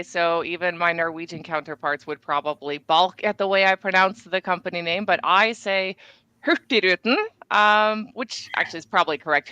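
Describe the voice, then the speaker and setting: Chatty Norwegian-raised woman, crisp and dry. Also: medium pitch, bright, forceful tone, no echo. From Norway. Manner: chatty, self-deprecating jokes. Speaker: cruise line sales representative. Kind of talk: live webinar Q&A.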